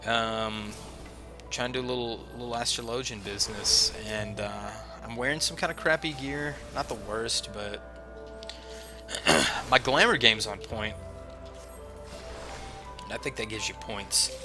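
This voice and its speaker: A man's voice: medium pitch, measured pace, long pauses. A man with a head cold and chesty cough, chatting to viewers in a rambling, self-mocking way while he plays a game. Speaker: streamer. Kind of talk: casual gameplay livestream chat. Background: game music.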